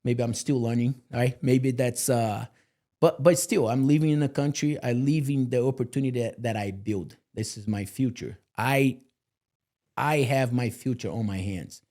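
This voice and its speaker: Male guest, mellow and earnest, motivational, filler-heavy. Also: medium pitch, moderate pace. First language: Portuguese. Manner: earnest, motivational, lots of "you know" fillers. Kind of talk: candid podcast interview.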